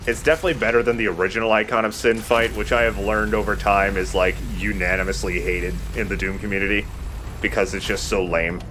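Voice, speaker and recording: Low-voiced man commentating over game noise. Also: fairly even intonation, quick pace. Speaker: let's play host playing the game. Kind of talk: casual gameplay commentary. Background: video game sound.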